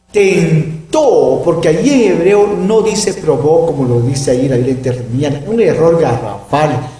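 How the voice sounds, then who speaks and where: Emphatic Bible teacher, echoey room. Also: male voice, fairly high pitch, warm tone, recorded from a distance. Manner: emphatic, peppers speech with "¿verdad?". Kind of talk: recorded Bible lesson. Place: room with closed blinds.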